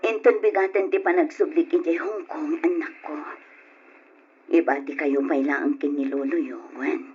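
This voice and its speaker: A woman's voice: low-pitched, fairly even intonation, a little mellow, soft background music, natural voice. An actress playing an anguished, betrayed wife and mother who works abroad, in an Ilocano radio drama with an emotional delivery and an Ilocano accent. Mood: sad, gentle. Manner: emotional, tearful, drawn-out delivery. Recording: dull, muffled old radio drama recording.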